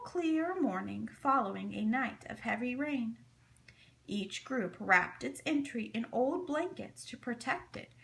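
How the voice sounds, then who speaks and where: A woman reading a children's story aloud in an expressive, deliberate, dramatic way. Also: a little high, gravelly, rough recording. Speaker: storybook reader.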